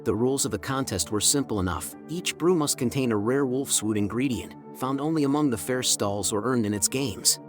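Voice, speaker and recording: A man's voice: medium pitch, brisk, few pauses. A man narrating a scripted fantasy story in a dramatic, ornate storyteller manner. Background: music.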